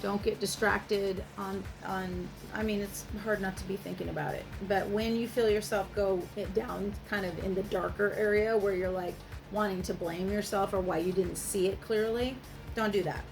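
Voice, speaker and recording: A woman's voice: medium pitch, steady tone, moderate pace, gravelly, over music. A woman tarot reader speaking directly to the listener in a casual, reassuring advice monologue, swearing freely.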